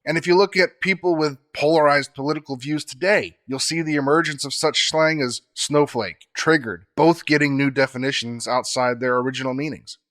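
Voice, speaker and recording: A man co-hosting a podcast, explaining facts in a measured, scripted way. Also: fairly high pitch, fairly even intonation, a bit bright.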